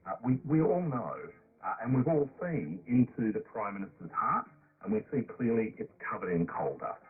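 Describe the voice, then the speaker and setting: A husky-voiced man speaking as an industry spokesperson in unscripted remarks, relayed over shortwave radio. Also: medium pitch, big pitch swings, moderate pace. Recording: muffled narrow-band shortwave radio clip.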